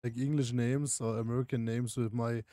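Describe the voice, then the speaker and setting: A man in his thirties with a German accent, giving casual, halting game commentary on a livestream. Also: mid-range voice, level tone, mellow, no room sound, studio quality. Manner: halting, searching for words. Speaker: game streamer. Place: indoor room, streaming setup.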